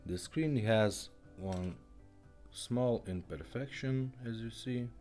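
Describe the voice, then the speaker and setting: Low-voiced man demonstrating gear. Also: slow pace, a little mellow, faint music behind. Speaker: car radio restorer demonstrating a product. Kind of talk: casual product demonstration.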